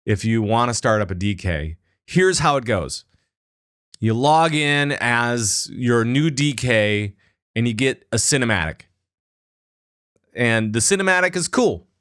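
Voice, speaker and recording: A man co-hosting a casual gaming podcast, telling a story with lively acted-out lines. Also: mid-range voice, a little slow, dry recording, studio quality. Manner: animated storytelling, acts out characters' lines. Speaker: podcast co-host.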